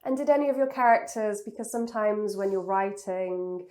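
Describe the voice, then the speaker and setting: A woman interviewing an author, encouraging and quick with affirmations. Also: medium pitch, a little mellow. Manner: encouraging, frequent backchannel affirmations. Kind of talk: interview conversation.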